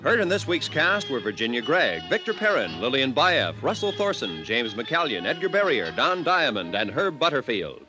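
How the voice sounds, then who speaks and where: An American man is a vintage radio announcer. His scripted delivery moves widely in pitch at a medium register, with music behind it. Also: sing-song, moderate pace, hardly any pauses.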